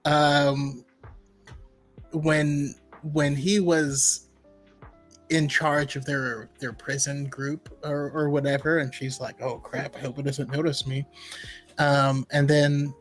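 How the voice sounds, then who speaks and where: A male co-host with a somewhat high voice talks informally. He retells story beats with acted-out reactions, while faint music plays behind. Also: little pitch movement, slow. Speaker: co-host of a fan livestream. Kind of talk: casual chat, retelling a story.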